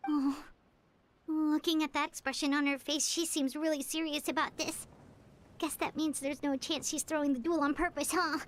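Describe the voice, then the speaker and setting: A woman voicing a tiny, excitable girl companion in a video game, asking anxious, rushed questions. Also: high voice, a little hiss or hum, close to an everyday voice. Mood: worried.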